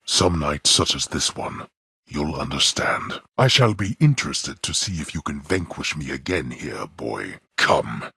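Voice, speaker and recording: A man playing a stern, imperious god of the underworld and disapproving father in a video game, with haughty, formal, deliberate delivery and a gravelly, husky voice. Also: extremely low pitch, lively intonation, bright, forceful tone, no room sound, clean studio sound, full character voice. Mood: stern menace. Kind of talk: theatrical video game voice acting.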